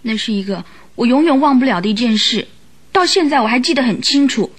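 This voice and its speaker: A woman's voice: a little high, lively intonation, a little fast. An actress playing an anxious young woman who recounts events earnestly in a radio drama. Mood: grave, recounting. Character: anxious young woman client recounting her sister's death. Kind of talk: Mandarin radio drama acting. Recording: radio drama with music behind.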